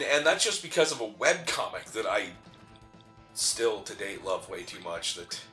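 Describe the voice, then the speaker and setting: A man chatting casually as co-host of a video game playthrough, telling stories in a rambling, animated way. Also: fairly low pitch. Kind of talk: casual chat over gameplay.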